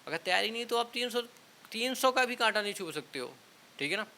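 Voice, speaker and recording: A man talks as an exam coach to his students. His voice sits fairly high and is bright and forceful. He advises informally, often checking in with short tags, over an audible background hiss. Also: lively intonation, brisk. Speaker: exam coaching teacher and channel host.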